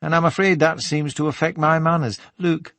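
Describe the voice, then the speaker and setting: A man voicing a friendly, teasing Geordie woman in her twenties, with a lilting, slightly husky voice, in an audiobook. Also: a little high, steady tone, moderate pace, fairly bright tone, dry recording, voice pushed up. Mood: apologetic, chatty. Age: 20s. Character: young shop woman, teasing, friendly, chatty.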